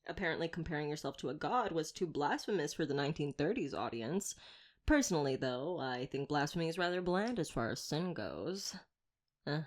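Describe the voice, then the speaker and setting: A woman's voice: low voice, lively intonation, fairly quick. Woman doing a chatty flirty monster hunter agent, teasing and mock grand, voice swoops all over, asmr roleplay. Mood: playful, rambling. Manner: wordy, playful, teasing, mock-grand delivery. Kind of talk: ASMR roleplay audio drama addressed to listener.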